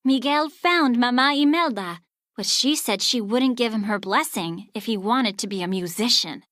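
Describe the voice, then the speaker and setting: A woman narrating a children's storybook aloud in an expressive, scripted read-along style. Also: a little high, no room sound, studio quality.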